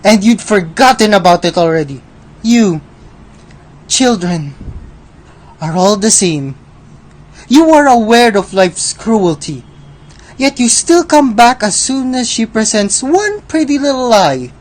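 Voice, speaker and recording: A woman's voice: mid-range voice, lively intonation, takes it slowly, long pauses, a little mellow. A woman playing Death in a radio drama as a mocking, cynical and theatrically knowing figure. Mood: scornful. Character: Death personified, female, mocking, world-weary and cynical.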